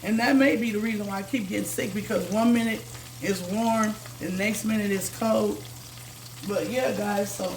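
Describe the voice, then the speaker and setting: A slightly hoarse woman chats through a home cooking vlog, repeating herself and calling her viewers "guys". Her voice has a gravelly, creaky edge. Faint noise and pan sizzle sit behind her in the kitchen. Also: mid-range voice, fairly even intonation, normal speed, low-quality recording. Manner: chatty, says "guys" constantly, repeats herself. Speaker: cooking channel host. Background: food sizzling in a pan.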